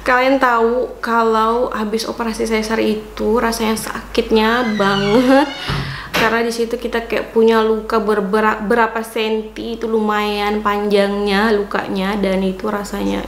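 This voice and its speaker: A woman's voice: a little high, flat delivery, normal speed. A young adult woman from Indonesia telling a personal story in a casual, chatty, confiding vlog style, with some emphasis. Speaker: vlogger, mother of four. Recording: roomy echo, faint background noise.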